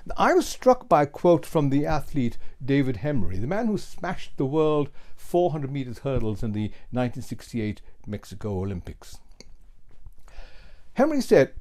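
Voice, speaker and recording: An older man in his sixties or beyond, giving a lesson as a public speaking coach, with emphatic, theatrical delivery and deliberate pauses. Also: mid-range voice, very animated, a little mellow. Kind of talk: keynote lesson, rhetorical storytelling. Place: small home office with bookshelves.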